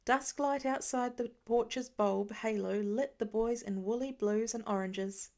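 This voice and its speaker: A woman reading scripted fiction as an audiobook narrator, in an expressive and careful manner. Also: a little high, a little slow.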